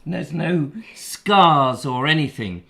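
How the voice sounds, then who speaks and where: A man's voice: fairly high pitch, expressive, slow, gravelly, pushed and bright. A man co-hosting a casual chat show, joking in a silly, rambling, playful way. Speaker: co-host.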